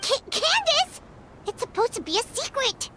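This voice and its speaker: A woman voicing a small, excitable, childlike female fairy companion with a squeaky voice in a video game. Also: normal speed, full character voice. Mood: alarmed. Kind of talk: cartoonish video game acting.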